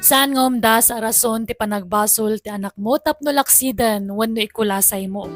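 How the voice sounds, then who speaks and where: High-voiced Ilocano woman narrator over music. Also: normal speed. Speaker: drama narrator. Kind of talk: scripted moral and closing announcement. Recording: music bed behind the voice.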